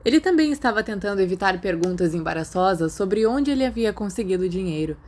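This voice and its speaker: A woman's voice: mid-range voice, expressive, fast talker, a little mellow. Female narrator for fiction audiobook, Brazilian accent, mid-range voice, scripted storytelling.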